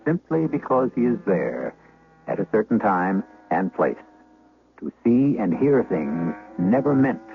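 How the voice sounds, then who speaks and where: A man hosting a 1970s radio mystery, narrating from a script in a measured, dramatic storyteller's manner. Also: lowish voice, sing-song, gravelly, narrow, tinny audio. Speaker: host narrator. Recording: band-limited 1970s radio recording. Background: music.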